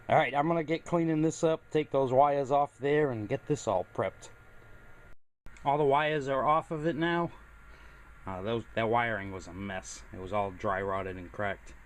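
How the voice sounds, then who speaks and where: A man talks through a do-it-yourself job in a casual, explanatory manner. His voice sits at an ordinary middle pitch. There is a faint layer of noise from the handheld camera. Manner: plainspoken, explanatory. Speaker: hobbyist presenter. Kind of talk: casual hands-on project narration. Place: home workshop and outdoor driveway. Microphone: handheld camera, close to speaker.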